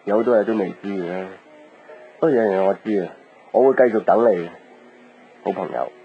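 An actor playing a modest, devoted young man, a quietly hopeful suitor in a radio drama. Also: on the low side, a little fast, lightly acted. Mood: tender, hopeful. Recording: narrow tinny radio recording, faint guitar music.

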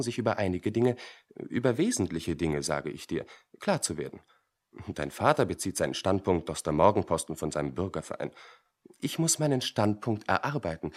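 An actor playing an idle, contrary young man, brooding and self-important, in a German radio play. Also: sits low. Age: young adult. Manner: pompous, argumentative.

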